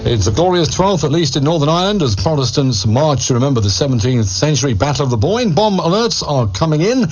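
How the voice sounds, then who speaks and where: A man's voice: a little high, sing-song, fast talker, hardly any pauses, music in the background, poor audio. A man reading radio news in a punchy tabloid style, with emphatic, clipped headlines. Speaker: radio newsreader.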